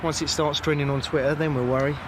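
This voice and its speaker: An easygoing British policeman played by a male actor in an audio drama. He is matter-of-fact and sounds set back from the mic amid clear outdoor traffic noise. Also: on the high side, flat delivery, fast talker, far from the mic, natural voice. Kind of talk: naturalistic audio drama acting.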